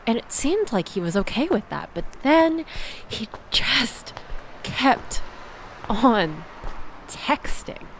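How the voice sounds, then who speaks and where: A woman's voice: on the high side, big pitch swings, slow, a little hiss or hum, heavily acted, poor audio. A woman playing a chatty, exasperated young woman in a casual audio roleplay, rambling and self-deprecating as she tells her story. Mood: incredulous, animated. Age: young adult.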